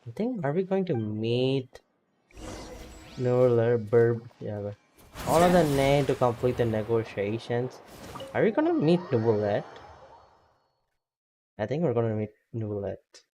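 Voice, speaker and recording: Mellow laid-back male streamer. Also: mid-range voice, big pitch swings, a little slow, long pauses, slight background noise. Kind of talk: casual game commentary.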